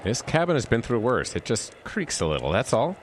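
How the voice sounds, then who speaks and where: An actor playing a stubborn, reassuring boyfriend in an audio drama, with an emphatic, expressive delivery. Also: male voice, mid-range voice, brisk, slight background noise. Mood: anxious reassurance. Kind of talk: audio drama acting with inner-monologue narration.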